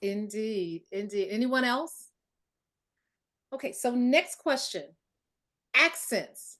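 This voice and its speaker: Brisk higher-voiced woman moderator. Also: lively intonation, fairly bright tone. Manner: brisk, repeats "indeed". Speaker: panel moderator. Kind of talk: moderating a panel.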